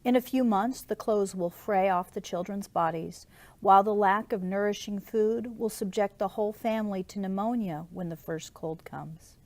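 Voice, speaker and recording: A woman reading scripted nonfiction aloud like an audiobook, with measured, deliberate phrasing. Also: mid-range voice, a little mellow. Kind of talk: audiobook-style scripted narration.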